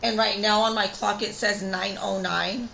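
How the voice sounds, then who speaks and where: Raspy-voiced woman reading tarot. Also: mid-range voice, fairly even intonation, moderate pace, sharp tone, a little hiss or hum, low-quality recording. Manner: chatty, talks straight to viewers. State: tired voice from singing. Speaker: tarot reader. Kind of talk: casual spiritual reading.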